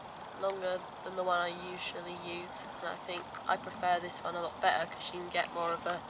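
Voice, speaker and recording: A young woman with a croaky voice from illness, casually demonstrating bushcraft in the woods as she kneels and works. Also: fairly low pitch, completely flat delivery, brisk. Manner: chatty, talks while whittling and striking sparks. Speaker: bushcraft vlogger. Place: pine woods outdoors. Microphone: camera on tripod, kneeling a few metres off. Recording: loud outdoor background noise.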